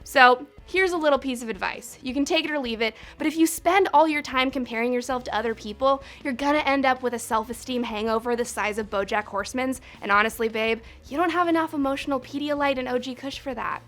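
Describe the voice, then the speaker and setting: A woman's voice: high-pitched, quick pace, hardly any pauses, a bit bright, soft background music. A young woman hosting a countdown video, talking in a sarcastic, profane, chatty way. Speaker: host. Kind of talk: snarky countdown commentary.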